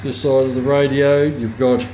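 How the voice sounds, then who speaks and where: A man giving an informal, unscripted product demonstration as a hobbyist reviewer, often pausing mid-sentence as he explains features. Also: mid-range voice, level tone, warm tone. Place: indoor room with hard countertop. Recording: roomy echo, clear background noise.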